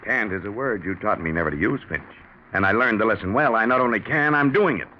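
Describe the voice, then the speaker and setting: An actor playing a big, bluff, ruthless business partner with a gloating, taunting manner in a 1940s radio drama. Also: male voice, on the low side, lively intonation, fast, natural voice. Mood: cold, triumphant. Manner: gloating, taunting, hard-headed. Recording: dull, noisy 1940s radio recording.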